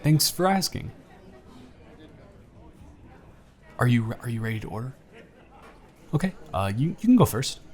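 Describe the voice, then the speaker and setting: A man's voice: medium pitch, lightly acted. A man playing a charming, teasing young man on a blind date, chatty and playful in a romantic audio roleplay. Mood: polite.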